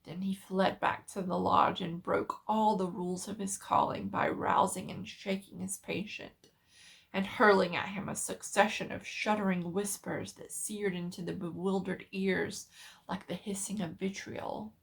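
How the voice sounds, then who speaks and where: A woman narrator giving a solemn, measured dramatic reading of a literary horror story. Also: medium pitch, little pitch movement, vocal fry.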